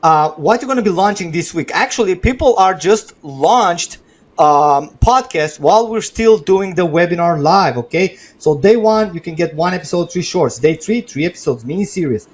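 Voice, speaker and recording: Male webinar host, non-native English speaker, fairly high voice, persuasive sales pitch, unscripted, dull lo-fi audio. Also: animated, moderate pace. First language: not English. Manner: persuasive, repeats checking tags like okay and right.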